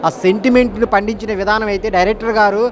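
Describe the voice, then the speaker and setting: A man's voice: high-pitched, fairly even intonation, very fast. A man with a Telugu accent giving an informal vox pop review as a moviegoer.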